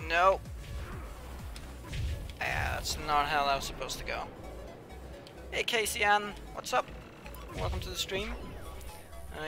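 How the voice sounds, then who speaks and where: A young man live-streaming a video game, chatting casually with viewers and muttering to himself as he plays, with a slightly whiny voice. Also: on the high side. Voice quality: says he has a whiny voice. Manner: chatty, mumbles asides to himself while playing. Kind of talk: casual live-stream commentary, reading chat. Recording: clear music playing behind. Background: background music.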